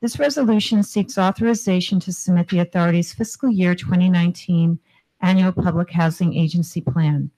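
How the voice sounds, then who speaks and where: A woman executive presenting a resolution at a board meeting, losing her voice and clearing her throat as she reads formal text. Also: lowish voice, fairly quick, dark tone, low-quality recording.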